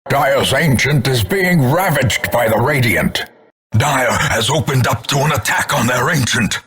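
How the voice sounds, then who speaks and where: An actor playing a grim, contemptuous older narrator of doom, announcing a video game with grandiose, theatrical gothic delivery. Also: male voice, mid-range voice, lively intonation, fairly bright tone, breathy edge, exaggerated voice. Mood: grave warning. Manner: grandiose, ornate, measured dramatic delivery.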